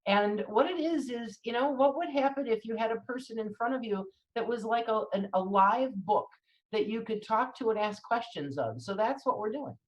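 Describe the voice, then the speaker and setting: A woman's voice: fairly high pitch, expressive, moderate pace, low-quality recording. A woman hosting a live online talk, upbeat and chatty, with some fillers. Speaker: host. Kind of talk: live-stream introduction.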